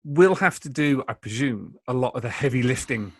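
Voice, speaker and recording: Halting male podcast host, dry sound. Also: mid-range voice, lively intonation, dry recording. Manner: hesitant, halting phrasing. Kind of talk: casual interview questions. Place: indoors, remote call.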